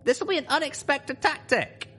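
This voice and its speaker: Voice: high voice, expressive, moderate pace, pushed and bright, voice pushed up. A narrator voicing an earnest, determined young female pony leader, speaking solemnly and urgently in a story reading. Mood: resolute. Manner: solemn, urgent speech-making.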